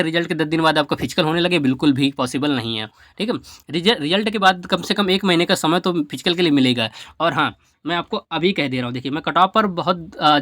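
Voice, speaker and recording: A man's voice: fairly high pitch, fairly bright tone, no room sound, clean studio sound. A man giving an informal, emphatic explainer to students as an exam coach, often repeating his points for stress. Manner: repetitive, emphatic, advising.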